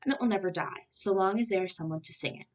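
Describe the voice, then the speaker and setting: A woman's voice: mid-range voice, fast talker, lo-fi sound, slight background noise, close to an everyday voice. A woman voicing a plucky, stubborn and brave little male rooster in a storybook, earnest and defiant. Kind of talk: storybook character voice.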